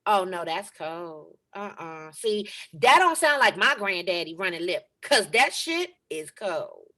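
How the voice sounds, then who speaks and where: An actress playing a sassy nineteen-year-old granddaughter at a table read, scolding in exasperation. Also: female voice, on the low side, big pitch swings, measured pace, sharp tone, heavily acted.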